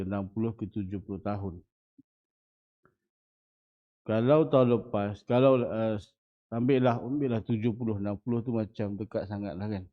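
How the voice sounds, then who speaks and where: A man in his forties with a Malaysian Malay accent, a religious teacher giving a dawn lecture in a small prayer hall, often putting rhetorical questions to the audience. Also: on the low side. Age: 40s. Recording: muffled lo-fi recording.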